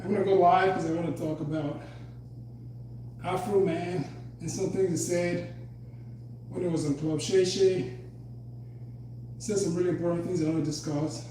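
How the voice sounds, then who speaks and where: A man with a slightly hoarse voice, chatting loosely between sets of a home workout. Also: sits high, dark tone. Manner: loose and rambling, talking between exercise sets. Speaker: fitness vlogger. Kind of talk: casual workout chat. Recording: very echoey room, mic far off, faint hum.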